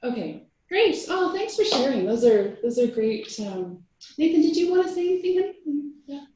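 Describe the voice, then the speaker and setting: A woman's voice: high-pitched, big pitch swings, normal speed. A woman leads a workshop round table in a chatty, friendly manner. Her voice is picked up from a distance in a very echoey room, with faint noise underneath. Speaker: workshop host.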